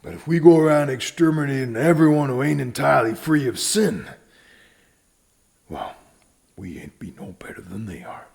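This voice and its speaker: An actor playing a stern but fatherly, world-weary sheriff in a western audio drama, with an American Southern drawl and a deliberate, folksy manner. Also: lively intonation, gravelly. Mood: grave, stern. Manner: deliberate, folksy, swinging from hushed to booming.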